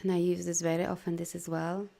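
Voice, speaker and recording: A woman with a Polish accent, a native Polish speaker, leading a live video talk as a life coach. She speaks in an animated, encouraging way with loose grammar and many "you know"s. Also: fairly low pitch, creaky. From Poland. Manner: soft, slow guidance during breathing. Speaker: life coach and energy healer.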